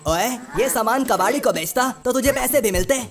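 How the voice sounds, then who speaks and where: Cheeky, taunting cartoon sidekick. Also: female voice, on the high side, animated, fairly quick. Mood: teasing. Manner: sing-song, taunting. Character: cheeky teasing cartoon sidekick, mocking and chatty. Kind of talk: comic cartoon dubbing in Hindi with rhymes. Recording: music bed under the voice.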